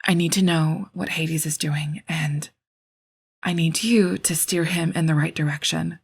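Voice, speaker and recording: Lady acting as weary commanding woman, super breathy n creaky, slow hushed drama voice. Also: deep voice, steady tone, full character voice. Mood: confiding, worried. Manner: hushed, deliberate, hesitant.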